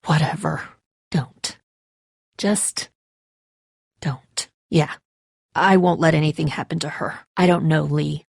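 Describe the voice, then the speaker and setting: A woman's voice: sits low, fairly even intonation, slow, vocal fry, long pauses, slightly rough, no room sound, studio quality, heavily acted. A woman playing a tough, bitter, defensive apocalypse survivor in a dramatic video game, speaking in a terse, confrontational way. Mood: bitter, curt.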